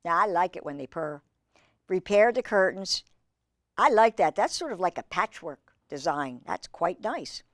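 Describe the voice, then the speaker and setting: A woman's voice: fairly low pitch, dry recording, studio quality. Woman reading a picture book to children. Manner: expressive, drawn-out emphasis on read words, conversational asides to young listeners. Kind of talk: children's storytime read-aloud with chatty asides.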